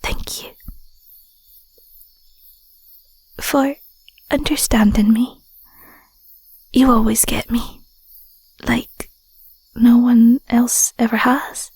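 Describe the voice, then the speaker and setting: A woman playing a tender, sentimental young Scottish werewolf girlfriend in an intimate audio roleplay, speaking softly and breathily with a gentle, affectionate manner. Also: a little high, steady tone, slow pace, long pauses, no room sound, full character voice. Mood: loving, soft. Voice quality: soft, breathy, whispery. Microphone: close mic, dry.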